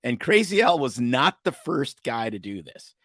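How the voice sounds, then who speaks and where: A man's voice: medium pitch, sing-song, normal speed, fairly bright tone, no room sound, studio quality. A man from Canada co-hosting a podcast, telling a story in casual, comedic chat and slipping into mock ad-announcer bits. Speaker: podcast co-host.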